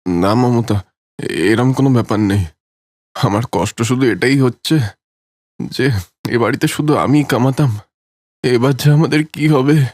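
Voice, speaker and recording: A man's voice: medium pitch, unhurried, long pauses, a little mellow, dry recording, clean studio sound, lightly acted. A man playing a humble, hard-working village husband in a cartoon story. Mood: worried.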